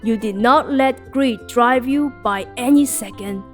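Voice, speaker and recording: A woman voicing a gentle, kindly old fairy godfather in a storybook tale, with measured delivery. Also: a little high, soft background music, natural voice.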